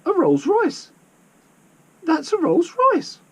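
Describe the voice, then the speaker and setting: Man putting on a high, squeaky young girl. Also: wildly swooping pitch, slow, fairly bright tone, heavily acted. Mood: astonished. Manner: astonished, questioning. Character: excitable young girl, squeaky and wide-eyed. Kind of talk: children's story reading.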